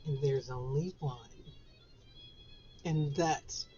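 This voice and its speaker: A woman in her sixties with a Southern US accent, raspy after a flu, chatting casually to camera from her home office. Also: deep voice, mellow, dull audio, faint music behind. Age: 60s. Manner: chatty, drawn-out, laughs between phrases. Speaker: author and vlogger.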